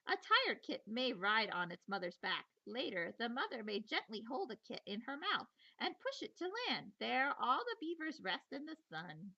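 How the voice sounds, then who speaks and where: A woman teacher reading a children's nature book aloud in an expressive, playful storytelling manner, with chatty asides. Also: on the high side, normal speed, hardly any pauses, highs cut off.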